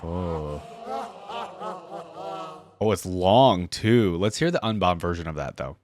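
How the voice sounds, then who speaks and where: A man's voice: low voice, animated, slow pace, background noise. A man hosting a reaction video, commenting casually and musing aloud to his viewers.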